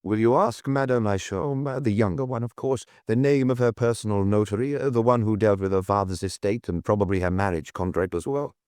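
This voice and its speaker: A man plays a calm male chief inspector in an audiobook. His voice is on the low side and very dry. He questions in a measured, courteous way, quietly persistent and probing. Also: clear, resonant tone, no room sound, close to an everyday voice. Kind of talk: audiobook character dialogue.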